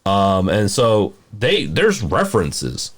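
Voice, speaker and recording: A man hosting a casual movie discussion podcast, talking in a halting, rambling way and often rephrasing mid-sentence. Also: lowish voice, wildly swooping pitch. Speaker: podcast host.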